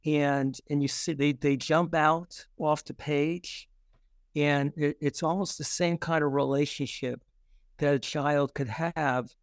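A man with a slightly high, clear voice, captured dry and close. He chats casually as an interviewed author, halting and circling back over his phrases. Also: no room sound. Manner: halting, circles back with repeated filler words. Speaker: interview guest, children's author. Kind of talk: casual conversational answers.

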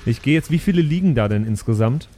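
Guy playing pen and paper, normal pitch, kinda stumbly casual talk. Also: expressive, fast talker, mellow, soft background music. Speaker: tabletop game player. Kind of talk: casual improvised role-play chat.

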